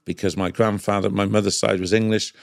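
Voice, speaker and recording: A man's voice: on the low side, little pitch movement, fairly quick, gravelly, no room sound, clean studio sound. A middle-aged man with a Cardiff Welsh accent telling casual anecdotes as a podcast guest, emphatic and repetitive, often checking in with "right?". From Cardiff, Wales.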